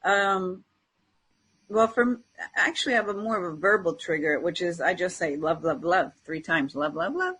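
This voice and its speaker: A woman's voice: on the low side, expressive, moderate pace, fairly bright tone, slightly rough. A woman co-hosting a spiritual talk show, chatting informally and reflectively, often voicing inner self-talk. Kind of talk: casual unscripted conversation about spirituality.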